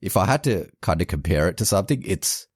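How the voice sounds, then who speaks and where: A man's voice: deep voice, expressive, quick pace, no room sound, studio quality. A man co-hosting a podcast, chatting easily about movies with some hesitant restarts. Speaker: podcast co-host. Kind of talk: casual movie review chat.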